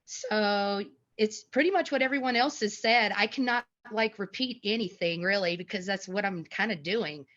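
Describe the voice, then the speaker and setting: A woman giving feedback in a casual group discussion, loose and agreeable, on dull, low-fidelity audio. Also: moderate pace, hardly any pauses, a bit bright.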